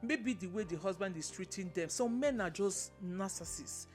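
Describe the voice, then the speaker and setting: A woman with a Nigerian accent and a loud voice, a guest chatting on a podcast, emphatic and repeating herself for stress. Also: medium pitch, normal speed, faint music behind. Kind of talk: casual podcast chat.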